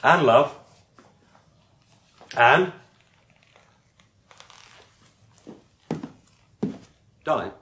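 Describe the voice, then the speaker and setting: An actor playing a weary but fond British husband in a naturalistic radio drama, dry, exasperated and grumbling. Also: male voice, medium pitch, expressive, very slow, a bit bright, breathy edge, exaggerated voice. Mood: fond impatience. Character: grumbling, weary, fond young English husband, city professional.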